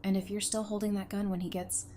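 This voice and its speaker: Actress as protective young female survivor for audio drama, mid-pitched, earnest and direct. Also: little pitch movement, quick pace, mellow, faint music behind, lightly acted. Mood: urgent. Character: protective, strong-willed young woman survivor.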